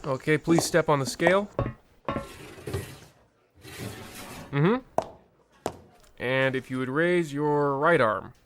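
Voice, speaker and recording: A man's voice: fairly high pitch, a little slow, background noise, lightly acted. A man acting as an officious, callous bank loan officer in a comedy radio play, fussy and blustering.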